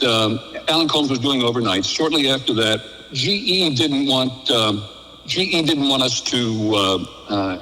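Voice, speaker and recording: An older man, an interview guest and former radio programmer, telling casual anecdotes in an animated way and acting out exchanges. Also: medium pitch, long reverb tail, low-quality recording.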